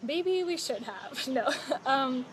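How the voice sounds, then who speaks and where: A woman in her thirties chatting casually on a phone livestream outdoors, relaxed and informative with fillers and small laughs. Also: high voice, slow pace. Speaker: travel vlogger. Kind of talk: casual live chat. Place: outdoors at a home stay patio. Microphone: phone held close. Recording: outdoor noise behind.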